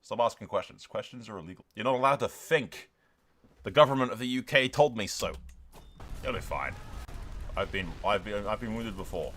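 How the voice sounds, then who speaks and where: Animated man doing theatrical gaming commentary. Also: fairly low pitch, normal speed. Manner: theatrical, jokey, sweary. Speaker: gaming video creator. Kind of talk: casual game roleplay commentary with dramatic narration.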